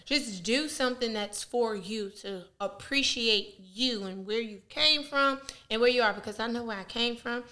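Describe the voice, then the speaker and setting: Bright-voiced woman co-hosting a chat. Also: fairly high pitch, moderate pace, few pauses. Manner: laughing, reflective and frank. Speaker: podcast co-host. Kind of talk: casual chat.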